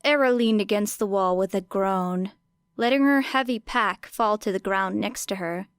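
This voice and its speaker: Woman, audiobook narrator, smooth clear voice, mid pitch, wry dramatic storytelling. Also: moderate pace, no echo, studio quality. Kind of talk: expressive scripted fiction narration.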